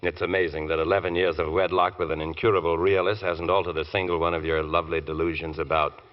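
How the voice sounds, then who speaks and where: An actor playing a suave, self-assured barrister with a dry, understated manner, in 1940s radio drama style. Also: male voice, low-pitched, little pitch movement, quick pace, close to an everyday voice. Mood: wry, teasing. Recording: muffled old radio recording.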